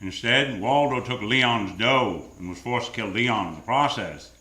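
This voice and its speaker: An actor playing a cool, sardonic private detective in a deadpan 1940s-style live radio drama. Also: male voice, medium pitch, unhurried. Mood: cool, wry. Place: theater stage. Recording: roomy live theater stage sound.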